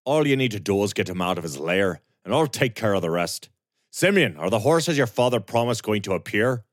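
A man playing a grim young man set on revenge in a storybook, keeping his voice low and controlled. The tone is deep and lively, recorded close and dry. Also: brisk, no room sound. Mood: commanding.

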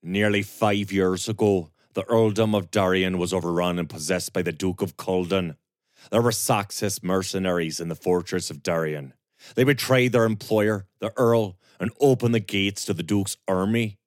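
A man voicing a calm, grim young avenger. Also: moderate pace, no room sound, clean studio sound, close to an everyday voice. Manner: low, controlled, calm voice.

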